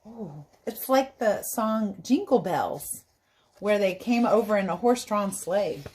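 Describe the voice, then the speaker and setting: A woman teacher reading a storybook aloud to children in an expressive, animated way, pausing to explain things in simple asides. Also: mid-range voice, slight background noise.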